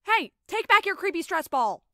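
A woman's voice: very high-pitched, sing-song, normal speed. A woman voicing a sensible, prim young female ticket-booth worker in a cartoon, matter-of-fact in manner. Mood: squeamish. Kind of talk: animated web series voice acting.

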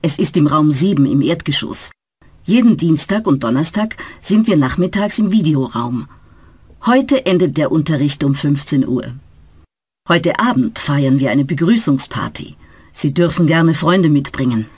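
A woman plays a friendly teacher welcoming her class in a scripted language-course recording. Her intonation rises and falls in a lively way, the tone is slightly breathy, and the sound is dry and close. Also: low voice, normal speed, dry recording. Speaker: teacher in a dialogue. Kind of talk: slow scripted learner dialogue.

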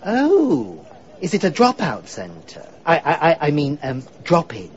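A man's voice: on the high side, huge pitch swings, measured pace, lo-fi sound. An actor playing a polite, babbling, fussy PR man in a radio drama. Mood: polite, flustered. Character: chatty, tactless, harmless male PR executive.

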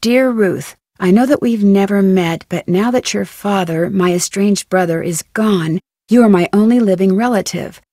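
A young woman with an American accent playing a curious, upbeat teenage girl detective in an adventure game, speaking in a clear, inquisitive way. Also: medium pitch, moderate pace, mellow, no room sound, studio quality, lightly acted. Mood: reading aloud. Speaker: video game voice actor. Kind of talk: scripted adventure game lines, thinking aloud.

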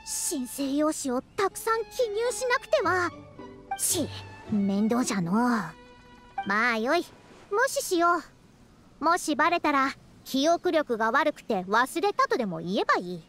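A woman's voice: high-pitched, very animated, a little slow, a bit bright, soft background music, full character voice. A woman voicing a proud, youthful-sounding scholar in a Japanese video game, talking in a self-important yet caring way with old-fashioned elder's phrasing. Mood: flustered, grumbling. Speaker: scholar and teacher. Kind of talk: Japanese anime-style video game voice acting.

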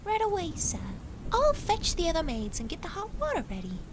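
A woman's voice: very high voice, huge pitch swings, fairly quick, full character voice. A woman playing an eager, obedient young maid in a horror radio play. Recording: noisy with clicks.

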